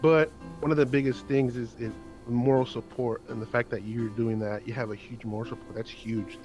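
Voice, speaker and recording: Earnest man with halting restarts. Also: medium pitch, steady tone, over music. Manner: earnest, halting, many restarts and repeated words. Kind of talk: casual heartfelt conversation.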